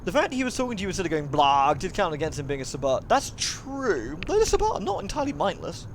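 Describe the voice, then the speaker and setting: A man's voice: high voice, wildly swooping pitch, quick pace, slight background noise. A man streaming a visual novel, reading the narration aloud dramatically and breaking into playful, chatty asides to his viewers. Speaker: live streamer.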